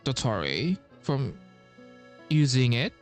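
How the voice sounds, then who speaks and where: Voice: medium pitch, sing-song, takes it slowly, faint music behind. A game streamer talking casually and reading dialogue aloud during a playthrough.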